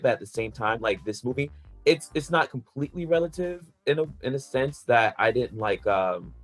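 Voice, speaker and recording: A man chatting casually on a podcast panel, rambling through his opinions with lots of "like" fillers and the odd laugh. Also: mid-range voice. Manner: rambling, heavy use of "like" fillers; laughs between points.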